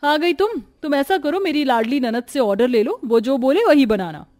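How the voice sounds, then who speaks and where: Cartoon rich young madam played by a woman, high-pitched, bossy, looking down on others. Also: expressive, moderate pace, darkish tone. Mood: haughty. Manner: bossy, dismissive. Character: rich, haughty young female employer.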